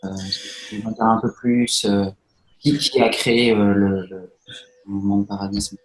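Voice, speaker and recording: A man hosting an interview, who introduces guests and asks questions haltingly with many fillers. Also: lowish voice, level tone. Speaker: host. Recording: roomy echo.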